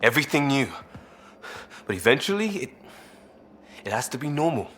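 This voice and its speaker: A man's voice: medium pitch, very animated, moderate pace, a bit bright, slight background noise. An actor playing an idealistic, restless young British crewman in a video game, speaking in clipped informal phrases. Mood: wistful, edgy. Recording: game dialogue over faint music.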